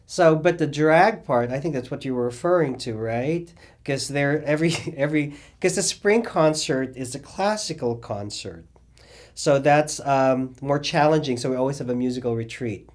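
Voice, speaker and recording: A middle-aged man telling his story in an oral history interview, rambling and animated, with many fillers and restarts. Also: mid-range voice, normal speed. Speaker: interviewee, cabaret singer and drag performer.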